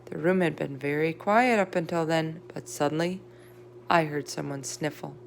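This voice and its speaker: A woman's voice: low-pitched, normal speed, gravelly, a little hiss or hum. A woman reading a children's storybook aloud as narrator. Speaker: read-aloud reader. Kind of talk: children's book read-aloud, character voices in dialogue.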